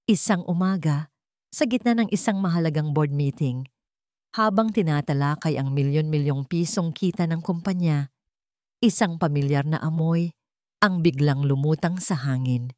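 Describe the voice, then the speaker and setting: A woman narrating a scripted romance drama with deliberate, dramatic phrasing. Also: low voice, warm tone, no room sound, clean studio sound. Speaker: story narrator.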